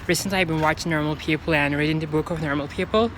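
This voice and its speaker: A man's voice: a little high, steady tone, fast, a bit bright, slight background noise. A man with a Turkish accent whose first language is Turkish, earnestly explaining how he studies English and repeating points to stress them. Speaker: English learning vlogger. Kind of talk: explaining study tips to viewers.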